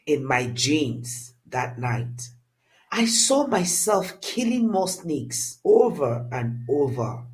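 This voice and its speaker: A narrator telling a scripted first-person story in a dramatic, deliberate and expressive way. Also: medium pitch.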